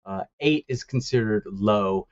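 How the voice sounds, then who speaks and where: Low-voiced man, halting with fillers. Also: dry recording, dull audio. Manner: halting, many uh fillers, chuckles often. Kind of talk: casual call-in chat. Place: lives in East Asia.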